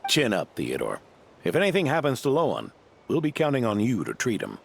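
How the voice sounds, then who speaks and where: An actor playing a gruff, fatherly older male knight with a gravelly voice, in an anime-style game. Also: mid-range voice, huge pitch swings, brisk, slight background noise.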